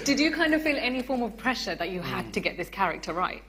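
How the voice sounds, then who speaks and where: A woman's voice: mid-range voice, very animated, fast, a crowd in the background. A woman hosting a live on-stage interview, putting questions to her guests. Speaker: radio presenter, event host. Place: radio theatre stage.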